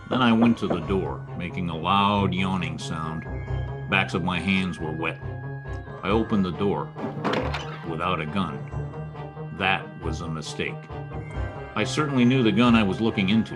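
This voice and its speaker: A hard-boiled American detective played by a man with a low voice. He speaks in clipped, slangy wisecracks, tough and wry, as in a 1940s radio mystery, with faint music behind him. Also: moderate pace, natural voice. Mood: dry, grim. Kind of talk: 1940s radio noir acting with first-person narration.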